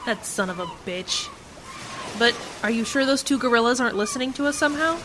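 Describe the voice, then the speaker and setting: A woman's voice: fairly high pitch, expressive, fairly quick, close to an everyday voice. A woman playing a defiant, bitter prisoner in a sci-fi radio play, wary and pointed in her questioning. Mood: bitter, resentful. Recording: noisy community radio drama recording.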